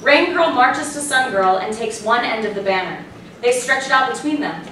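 A woman's voice: fairly high pitch, animated, normal speed, bright, forceful tone. Woman narrator reading stage directions, super echoey, kinda far from the mic. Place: small theatre, recorded from audience. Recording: very echoey room, distant mic, faint noise.

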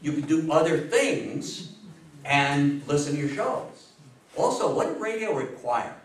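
A man in his sixties lectures to a room in a fairly high voice, stressing his points like a storyteller. He pauses for emphasis and puts questions to his listeners. The sound is very echoey and picked up from a distance, with a faint layer of noise. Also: expressive. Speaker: guest lecturer on history. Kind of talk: public talk to an audience. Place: indoor meeting room with seated audience. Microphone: distant camera microphone.

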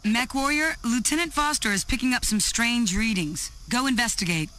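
An actress playing a tough, brisk mercenary commander in a video game, giving clipped military delivery. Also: female voice, poor audio. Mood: brisk, assured. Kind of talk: video game cutscene acting. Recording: loud music and vehicle rumble behind.

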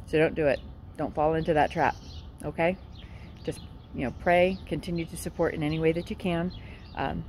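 A woman hosting her own commentary vlog, talking earnestly in a casual, halting way with many fillers and restarts. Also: low-pitched, vocal fry, darkish tone, a little hiss or hum. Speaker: political commentary vlogger.

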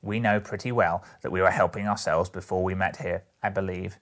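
A coarse, unashamed Victorian charwoman as a male reader acts her out. The delivery is loud and emphatic, full of scorn and defiance, with a gravelly edge. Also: low voice, fairly even intonation, a little fast. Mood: bold, demanding. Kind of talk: Victorian storybook character voice.

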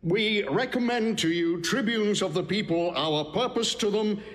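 An actor playing a courteous Roman senator with stately, formal Shakespearean delivery. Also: male voice, high-pitched, unhurried, fairly bright tone. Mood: ceremonious. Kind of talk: classical Shakespearean stage acting. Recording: long hall reverb.

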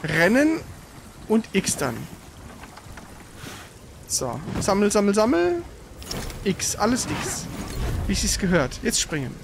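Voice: medium pitch, huge pitch swings, slow, creaky, pauses often, background noise. A player in a casual let's play, teasing and bossing a partner around with blunt, slangy exclamations. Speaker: gaming video host, co-op player.

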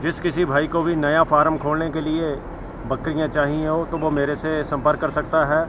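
A man from Himachal Pradesh walks through his goat farm and shows the animals one by one. He speaks in a fairly high, monotone voice, going over the same selling lines again and again. Clear outdoor noise from passing vehicles runs under the recording. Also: normal speed. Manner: repetitive sales pitch, addresses viewers as friends. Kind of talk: walk-around sales video.

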